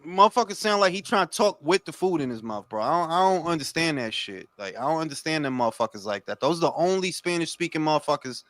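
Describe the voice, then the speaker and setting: A man's voice: fairly high pitch, expressive, fast talker, few pauses, fairly bright tone, no echo. A Dominican man hosting a casual boxing chat, speaking in profane, emphatic slang and repeating himself for emphasis. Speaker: boxing talk show host.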